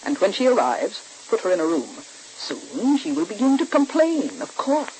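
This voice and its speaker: An actress playing a cold, bossy woman villain who gives deliberate, emphatic orders in the style of 1940s radio soap acting. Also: medium pitch, wildly swooping pitch, darkish tone, low-quality recording. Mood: scheming, commanding. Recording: hissy old radio recording.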